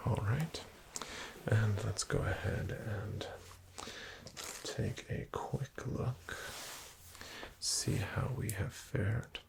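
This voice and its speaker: A man in an ASMR doctor roleplay, speaking in a slow, gentle half-whisper full of soft pauses and fillers. His voice sits low and crackles with a heavy, gravelly fry. A clear layer of background noise runs under the close-miked recording.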